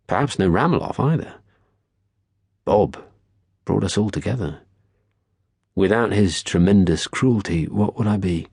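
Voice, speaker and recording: A man narrates a novel in a low, husky voice, measured and wry. His delivery is articulate and literary, recorded very dry and close. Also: expressive, a little mellow, no echo. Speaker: audiobook narrator. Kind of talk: scripted first-person literary narration.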